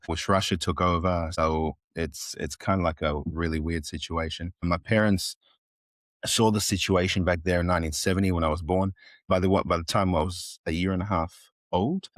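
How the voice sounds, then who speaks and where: A man's voice: deep voice, dry recording. A man in his fifties from Moldova with an Australian accent, a guest chatting casually, rambling and joking with many restarts. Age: 50s. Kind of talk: casual interview chat.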